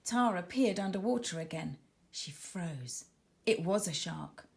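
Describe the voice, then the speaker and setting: A woman reading a children's book aloud in an expressive, dramatic storytelling manner, as scripted narration for young listeners. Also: on the low side, measured pace, vocal fry. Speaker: author reading her own children's book.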